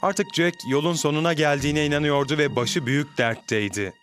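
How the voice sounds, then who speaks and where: A man narrating a cartoon story in an even storytelling tone. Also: a little high, brisk. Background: music.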